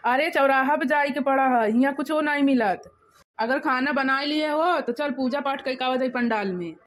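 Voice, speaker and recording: Woman playing a high-voiced rustic older mother-in-law. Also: moderate pace, close to an everyday voice. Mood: grumbling, brisk. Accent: eastern Uttar Pradesh. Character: scolding, pious older village mother-in-law. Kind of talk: animated comedy cartoon dialogue.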